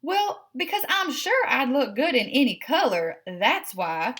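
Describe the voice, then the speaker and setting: A woman voicing a vain, fussy, excitable female pet cat in a prim, dramatic way for a children's storybook. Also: high voice, unhurried, voice pushed up. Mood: vain, indignant. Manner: prim, self-admiring, dramatic.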